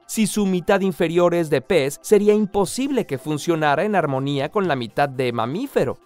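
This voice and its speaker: A young adult man giving scripted educational narration in a lively, clear storytelling way. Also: a little high.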